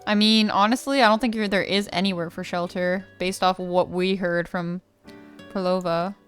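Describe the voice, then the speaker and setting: A woman's voice: on the low side, steady tone, normal speed, clear, resonant tone. A young woman giving casual, slangy let's play commentary, speculating aloud as she goes. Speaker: gaming streamer. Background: game music.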